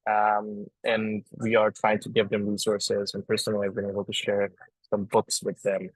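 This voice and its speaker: Man from Afghanistan, husky low voice, earnest, halting, dry video call sound. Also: completely flat delivery, no room sound, poor audio. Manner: earnest, halting, many restarts. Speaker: astronomy outreach guest. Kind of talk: live online panel talk.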